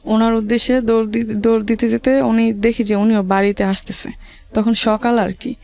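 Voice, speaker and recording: A woman with a rural Bangladeshi accent, telling her life story to an interviewer in halting, repetitive dialect. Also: a little high, flat delivery, darkish tone.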